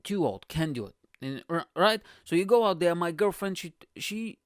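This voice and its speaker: A man's voice: high-pitched, expressive, normal speed, no room sound, studio quality. Profane, rambling young man. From Bulgaria. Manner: profane, rambling, keeps asking "you know what I'm saying". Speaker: podcast host. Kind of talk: casual comedic monologue.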